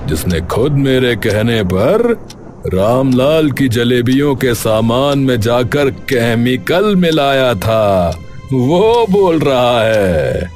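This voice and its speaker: A man's voice: mid-range voice, sing-song, slow pace, darkish tone, faint music behind. A man voicing a greedy, cruel and domineering village headman in a cartoon story, menacing and taunting as he talks. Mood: mocking.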